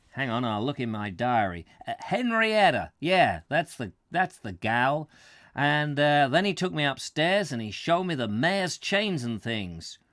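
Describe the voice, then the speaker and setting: Man reading aloud, doing a chatty American tourist: slangy, lively, dry. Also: on the high side, no echo. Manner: folksy, slangy, enthusiastic. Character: brash chatty American male tourist, middle-aged.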